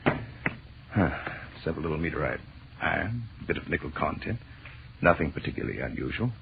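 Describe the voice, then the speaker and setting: Low male voice, swooping delivery, brusque professor, impatient, vintage radio drama, tinny and hissy. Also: big pitch swings, normal speed, gravelly, exaggerated voice. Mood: absorbed. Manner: emphatic, impatient. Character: brusque, dismissive, excitable male scientist professor and husband. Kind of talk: 1940s radio acting.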